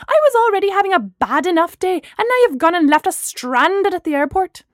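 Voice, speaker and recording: A woman's voice: on the high side, expressive, slow, a little mellow, dry recording, studio quality. A woman voicing a dramatic, fiery young best friend in an audiobook, with an emphatic, theatrical manner. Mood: furious, indignant. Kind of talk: acted audiobook dialogue.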